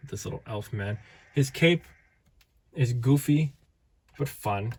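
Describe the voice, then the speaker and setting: Guy doing a toy review vlog, tired voice going out, goofy and rambly, average pitch. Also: lively intonation, takes it slowly, a little mellow, husky. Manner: rambling, playful, self-interrupting. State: tired, voice fading.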